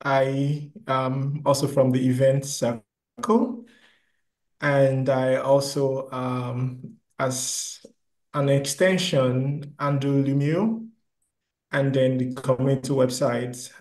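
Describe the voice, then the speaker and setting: A man's voice: medium pitch, darkish tone. A man from Nigeria giving a casual introduction as a participant on a video call. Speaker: community meeting participant. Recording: roomy, echoing video call.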